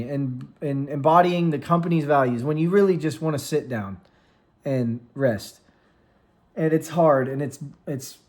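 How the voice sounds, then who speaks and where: Earnest young man musing at the mic. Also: a little high. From United States. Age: 20s. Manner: earnest, rambling, with frequent restarts and trailing pauses. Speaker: vlogger and streamer. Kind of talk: casual reflective vlog. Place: room at home with lights, plants and a cat on his lap. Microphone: studio microphone.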